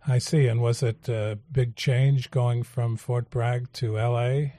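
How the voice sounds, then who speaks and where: A male radio talk show host, interviewing guests in a measured, halting way with frequent fillers. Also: mid-range voice, level tone, mellow, no room sound, clean studio sound. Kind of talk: public affairs interview.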